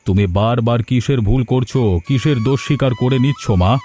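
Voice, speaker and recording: A man's voice: medium pitch, fairly quick, warm tone, soft background music, lightly acted. A man playing a dutiful grown son in a cartoon, earnest and reasoning. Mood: protesting. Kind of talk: Bengali cartoon voice acting.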